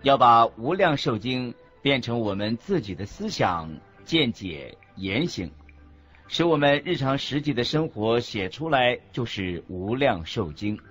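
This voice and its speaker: A man's voice: mid-range voice, very animated, a little slow, a bit bright, husky, full character voice. A man reading scripted religious teaching for a radio drama in a careful, didactic manner. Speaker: narrator voicing a monk's teachings. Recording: muffled audio with music bed. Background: background music.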